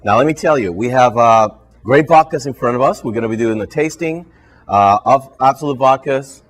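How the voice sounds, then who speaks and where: A man's voice: mid-range voice, normal speed. A man from Puerto Rico with a Puerto Rican Spanish accent, Spanish his first language, giving a live training to bartenders and ending nearly every phrase with "all right?". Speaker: brand ambassador and trainer. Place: hotel function room with an audience.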